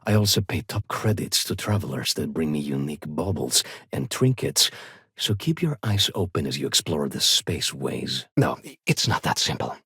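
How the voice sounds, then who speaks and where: An actor playing a fussy, haughty luxury shopkeeper in a sci-fi video game, with a refined, expressive and snippy delivery. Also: male voice, rumbling low, moderate pace, creaky, husky, no room sound, studio quality, heavily acted. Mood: polished, courteous. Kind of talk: video game voice acting, short standalone dialogue lines.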